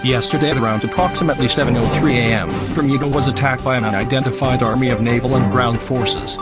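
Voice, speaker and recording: A man's voice: medium pitch, fairly even intonation, fast, over loud music, close to an everyday voice. A man playing a formal TV news anchor, reading a scripted breaking-news bulletin. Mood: serious.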